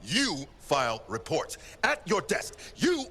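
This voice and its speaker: A man's voice: fairly high pitch, huge pitch swings, slow, pushed and bright, breathy edge, full character voice. A man playing a stern, barking military commander in video game cutscene acting, curt and authoritarian. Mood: angry, curt.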